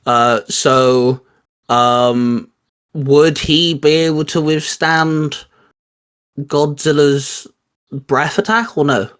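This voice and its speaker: A man's voice: a little high, steady tone, very slow, a bit bright, clear, resonant tone. Casual man hosting a chatty discussion. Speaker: host. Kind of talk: casual video chat and intro.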